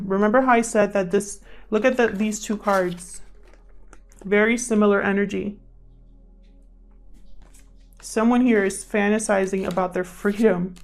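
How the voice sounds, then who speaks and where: Woman reading tarot over soft music. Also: mid-range voice. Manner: conversational, thinking aloud, addressing cards and viewers. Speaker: tarot reader, channel host. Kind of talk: casual, improvised tarot card reading. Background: background music.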